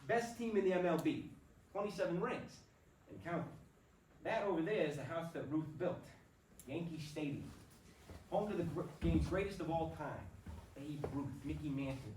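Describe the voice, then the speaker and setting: Heard from a distance with faint noise, a man plays a boyish young New York ballplayer on stage. The voice sits fairly high and is earnest, in the manner of a play reading. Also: moderate pace, lightly acted. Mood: proud, nostalgic. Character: New York ballplayer, proud narrator and insecure teenage son. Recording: echoey room, far mic, faint noise.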